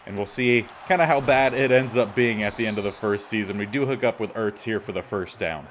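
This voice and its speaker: A man with a slightly raspy voice giving casual gameplay commentary as the host of a gaming series. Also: fairly low pitch, a little fast, slight background noise.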